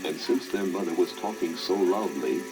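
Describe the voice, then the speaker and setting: A man's voice: deep voice, moderate pace, poor audio. A man narrating a scripted children's fairy tale as a storyteller. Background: music.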